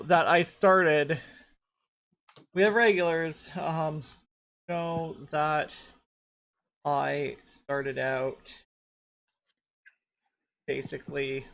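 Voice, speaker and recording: A woman hosting a casual podcast panel chat, rambling and repeating herself as she talks. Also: low-pitched. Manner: rambling, repeats herself while searching for photos. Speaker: podcast host.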